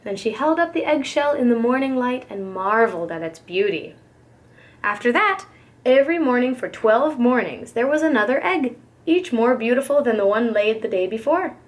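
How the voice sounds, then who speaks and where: A woman with a fairly high voice narrates a children's picture book. She reads as a preschool teacher does, with careful, dramatic expression. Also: lively intonation, moderate pace.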